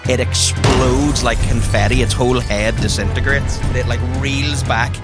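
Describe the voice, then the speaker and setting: A man's voice: mid-range voice, steady tone, measured pace. Man narrating a tabletop game over music. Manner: vivid, playful storytelling. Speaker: game master. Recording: music behind the voice.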